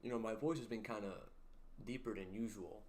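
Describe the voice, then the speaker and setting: A young man hosting a casual livestream, reading chat aloud and joking around, with a deep voice. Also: brisk, a little mellow. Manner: playful, jokey, sings snippets and reads chat aloud. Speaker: livestream host.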